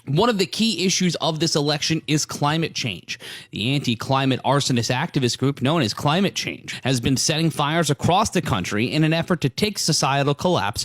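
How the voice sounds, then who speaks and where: A man plays a male TV news anchor in a cartoon parody. The scripted delivery is formal and deadpan, yet the pitch rises and falls a lot. The sound is dry, without room echo. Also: natural voice. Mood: serious.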